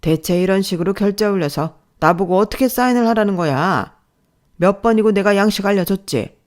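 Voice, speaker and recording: A man's voice: a little high, animated, normal speed. A woman voicing an abusive male department manager in a story, barking sarcastically and belittling an employee. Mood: furious, insulting. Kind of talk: dramatized audio story dialogue.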